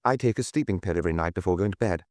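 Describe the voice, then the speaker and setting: A man's voice: low voice, very fast, darkish tone, no room sound, clean studio sound, lightly acted. A man reading a guarded, terse young wife. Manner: hesitant, terse. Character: young, guarded wife, cool and reluctant witness.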